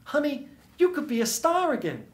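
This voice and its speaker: Man doing a high-pitched seductive noir dame. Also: huge pitch swings, normal speed, exaggerated voice. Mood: coaxing. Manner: sweet, breezy, cajoling. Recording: roomy stage sound.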